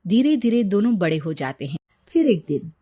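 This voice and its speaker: A woman's voice: medium pitch, big pitch swings, moderate pace, mellow, slightly rough. A woman narrating a scripted story as its storyteller.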